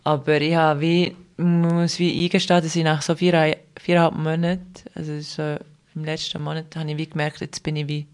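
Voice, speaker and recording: A woman's voice: deep voice, little pitch movement, moderate pace, few pauses, a little mellow, smooth. A young woman from Switzerland with a Swiss German accent, a podcast guest chatting casually, rambling with many restarts and fillers. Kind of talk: casual conversational interview.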